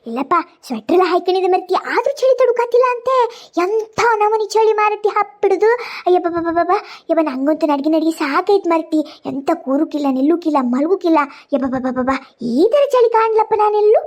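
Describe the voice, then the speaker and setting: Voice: extremely high pitch, fairly quick, darkish tone. A performer voicing a grumbling, muddled elderly mother in a cartoon comedy, with a Kundapura Kannada accent and wailing, repetitive exclamations. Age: older.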